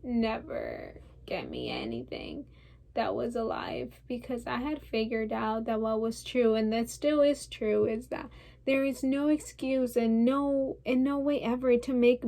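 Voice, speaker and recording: A stubborn, wounded young woman played by a woman in an intense stage monologue. Her voice sits fairly high with a strong creak, and she rambles insistently, piling up lists and defending herself. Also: dark tone. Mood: grieving, then pained, insistent.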